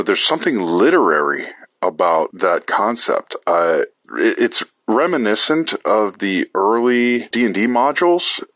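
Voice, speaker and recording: A man leaving a casual voice message, thinking aloud in a halting, thoughtful way. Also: fairly low pitch, fairly bright tone, no room sound. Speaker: podcast call-in listener.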